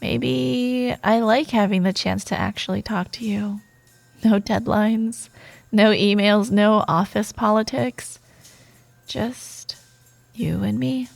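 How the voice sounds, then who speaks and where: A woman playing a proud, teasing yet wistful rival coworker in an intimate ASMR romance roleplay monologue, with playful banter, sighs and soft pauses. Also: mid-range voice, steady tone, creaky, dry recording. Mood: teasing, softening. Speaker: audio roleplay performer.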